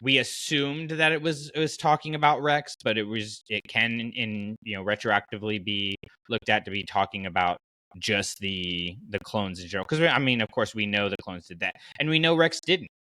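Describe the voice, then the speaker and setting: Male podcast host, slightly low and bright, animated and conversational, dry studio-like sound. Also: moderate pace, no echo, low-quality recording. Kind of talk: casual fan podcast discussion.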